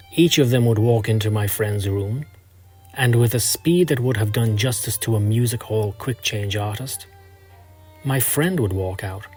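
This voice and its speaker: A man reading a scripted first-person story in a measured, literary manner. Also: medium pitch, a little mellow. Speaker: narrator.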